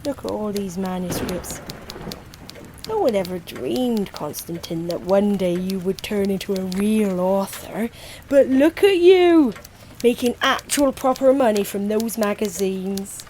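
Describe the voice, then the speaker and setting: A woman's voice: medium pitch, animated, unhurried, warm tone, slight background noise, close to an everyday voice, rough recording. A woman playing a fussing, sentimental, meddling middle-aged mother in an audio drama, gushing and plaintive. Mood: doting, coaxing. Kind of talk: naturalistic audio drama acting.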